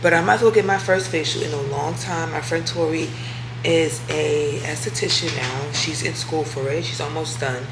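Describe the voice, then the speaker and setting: A woman's voice: sits low, steady tone, normal speed, background noise. A woman vlogger chatting casually to her viewers, slangy and talkative, with a congested voice and a sore throat. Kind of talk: casual vlog chat to camera.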